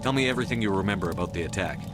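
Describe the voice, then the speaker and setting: An actor playing a composed, reassuring military commander in a video game, speaking in a measured, authoritative, direct way. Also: male voice, sits low, animated, over music. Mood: probing. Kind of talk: video game cutscene acting.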